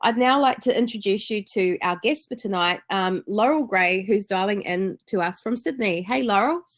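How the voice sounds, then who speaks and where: A woman's voice: mid-range voice, normal speed. A woman with a New Zealand accent hosting a business webinar in an enthusiastic, chatty way. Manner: enthusiastic, chatty, frequent ums. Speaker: webinar host.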